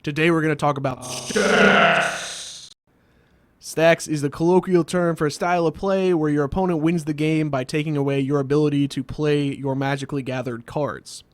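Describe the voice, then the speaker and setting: A man with a somewhat high voice narrates a scripted comedic explainer as its host. His delivery is wry and sardonic, and the sound is close and dry, with no room on it. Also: no room sound. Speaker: host of a card game video series.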